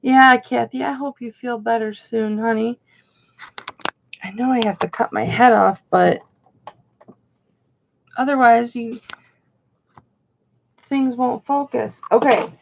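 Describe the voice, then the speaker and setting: Slightly hoarse gravelly lady doing a chatty live auction, slow and kinda high, muffled stream sound. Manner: chatty, greets viewers by name.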